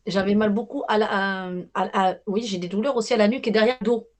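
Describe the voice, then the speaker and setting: A woman's voice: medium pitch, fairly even intonation, fast. A woman giving a live medium reading to callers over a video call, hesitant and reassuring, often repeating herself.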